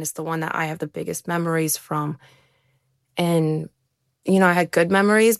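A woman's voice: lowish voice, creaky, dry recording, studio quality. A young woman from Ukraine, an interview guest, earnestly telling her life story in a conversational way. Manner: earnest, conversational, with frequent restarts. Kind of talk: candid personal storytelling.

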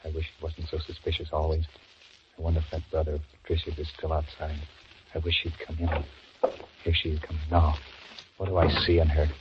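A man's voice: a bass voice, completely flat delivery, a little fast, dull audio. An actor playing a nervous, cowardly young man in an old radio drama, muttering anxious thoughts and sweet-talking a woman. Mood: worried brooding. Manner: anxious muttering asides, then coaxing sweet talk. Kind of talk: 1940s radio acting with inner monologue. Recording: scratchy, hissy old radio recording.

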